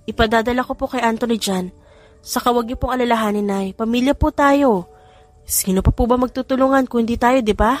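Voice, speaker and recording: A caring young woman, as a female narrator acts her, dutiful and a little high in pitch. It is an audiobook drama with music underneath. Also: fairly quick, natural voice. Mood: reassuring.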